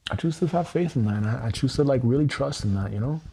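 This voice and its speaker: Husky-voiced man voicing affirmations. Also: mid-range voice, expressive, quick pace, mellow. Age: 30s. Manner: earnest, repetitive affirmations with frequent swearing. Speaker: EFT tapping livestreamer. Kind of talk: spoken self-affirmation.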